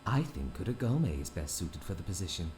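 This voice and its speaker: A man playing a smug, very deep-voiced older doctor. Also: big pitch swings, brisk, a little mellow, slight background noise. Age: 50s. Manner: prim, mock-polite drawl. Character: smug older male doctor, oily and condescending. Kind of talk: audio drama acting.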